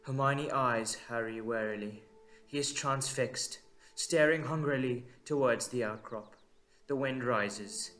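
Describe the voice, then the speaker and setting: Male narrator, dramatic scripted storytelling, unhurried short phrases, suspenseful, medium pitch, music underneath. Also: fairly bright tone.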